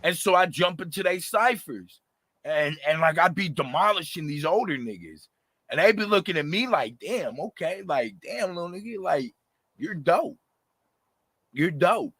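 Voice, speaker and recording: Raspy man in his thirties, animated and passionate, bright edge, dry close sound. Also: fairly high pitch, moderate pace, no room sound. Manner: animated, passionate, slangy, lots of fillers. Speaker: rapper, interview guest. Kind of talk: casual interview talk.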